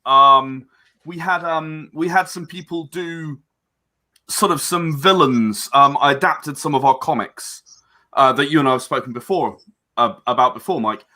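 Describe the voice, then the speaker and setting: A man talks as a guest in an interview about his own creative work. His voice sits a little high and has a bright, forward edge. He explains in an effusive, emphatic, wandering way. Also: measured pace. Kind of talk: enthusiastic interview talk about own project.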